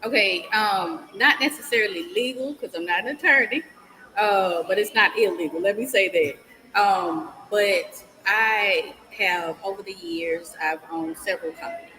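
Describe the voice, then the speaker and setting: A woman's voice: medium pitch, measured pace, background noise. A middle-aged woman from Mississippi with a Southern accent, explaining her consulting business as an interview guest with frequent ums. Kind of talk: casual interview talk.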